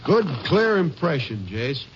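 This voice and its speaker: A man's voice: on the high side, very animated, takes it slowly. A man acting a cautious, plain-spoken country sheriff in a 1950s radio drama, folksy in manner, with an American accent. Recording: muffled, noisy old radio broadcast.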